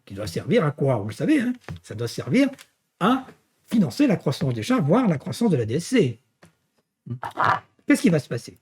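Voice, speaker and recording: A man's voice: fairly high pitch, darkish tone. A man giving an explanatory lecture, didactic, often checking in and posing rhetorical questions as he walks through his material. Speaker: university lecturer. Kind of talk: explanatory finance lecture walking through slides.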